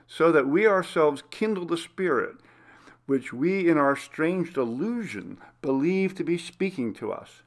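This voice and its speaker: A man reading a classic Gothic story aloud as scripted narration, in a measured, formal literary manner. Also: mid-range voice. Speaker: audiobook reader.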